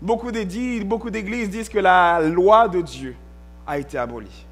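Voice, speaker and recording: A male pastor preaching a sermon in a church, stressing key words and drawing them out, at times rising to a shout. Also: sits high, moderate pace.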